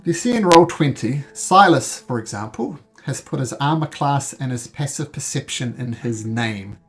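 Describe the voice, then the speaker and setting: A man's voice: medium pitch, expressive, normal speed, slightly rough, faint music behind. New Zealand man running a tabletop game. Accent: New Zealand mixed with North American. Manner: earnest, with frequent ums and restarts. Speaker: dungeon master. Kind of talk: explaining game rules to players. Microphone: voice call microphone.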